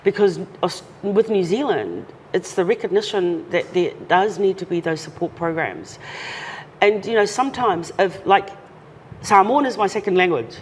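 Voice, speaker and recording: A woman's voice: medium pitch, moderate pace. A woman guest talking earnestly and carefully in an interview. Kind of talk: casual interview talk. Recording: long reverb, faint noise.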